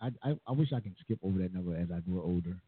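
A pastor in his forties hosting a casual talk show, chatty and quick to laugh, on a tinny, dry recording. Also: deep voice, expressive, husky, dry recording. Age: 40s. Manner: chatty, laughs often, repeats phrases. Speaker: pastor and host. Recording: tinny phone-like, dry sound.

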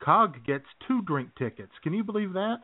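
A man's voice: high voice, expressive, normal speed. Joking male radio host, thin phone-like sound. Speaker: comedy radio show host. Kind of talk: casual comic banter.

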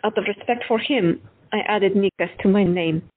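A woman playing a frank, unconventional woman doctor who talks bluntly and candidly with a slightly husky voice. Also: medium pitch, normal speed, lightly acted. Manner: blunt, candid, lightly teasing. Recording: tinny phone-like audio.

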